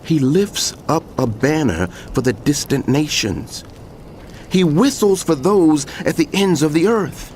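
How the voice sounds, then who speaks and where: A man's voice: a little high, expressive, measured pace, a little mellow, a little hiss or hum. A man playing a solemn prophet who proclaims woe in an emphatic, declamatory way in dramatized scripture narration. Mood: urgent.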